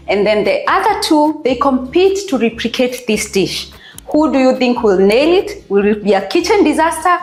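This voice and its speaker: A woman's voice: sits high, roomy sound. A woman hosting a cooking talk show, welcoming guests and asking questions, often chiming in with affirmations. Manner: frequent affirming interjections. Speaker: host. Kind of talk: show host interview.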